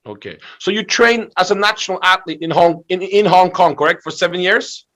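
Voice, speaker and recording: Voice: medium pitch, fairly quick, fairly bright tone. A man hosting a conversational video interview, asking questions and reacting with short interjections. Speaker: interview host.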